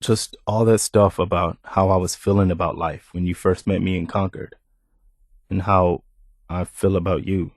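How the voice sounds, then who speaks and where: An actor playing an earnest, weary but hopeful militia leader in a video game, speaking in a measured way. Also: male voice, sits low, level tone, no room sound, clean studio sound. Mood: heartfelt, sincere. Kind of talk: video game companion dialogue.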